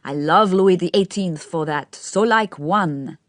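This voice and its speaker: A woman's voice: fairly low pitch, unhurried, dry recording, clean studio sound, lightly acted. A woman reading the part of an affected, theatrical young man who gushes and stresses every syllable through a curious accent of his own. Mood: gushing. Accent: odd foreign-tinged accent of his own. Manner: gushing, every syllable overemphasized, speaking through a smile. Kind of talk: audiobook character reading.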